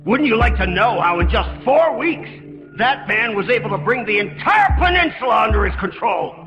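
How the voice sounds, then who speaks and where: A man's voice: high-pitched, big pitch swings, moderate pace, big-room sound, lo-fi sound. A man playing a pompous, blustering, middle-aged Soviet colonel in a video game, with theatrical delivery. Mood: bitter, scornful. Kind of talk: dramatic video game acting. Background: loud music.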